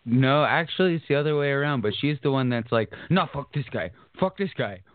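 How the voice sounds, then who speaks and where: A man hosting a casual interview, relaxed and easygoing, swearing casually. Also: medium pitch, a little fast, dry recording. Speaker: podcast host. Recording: dry, phone-like audio.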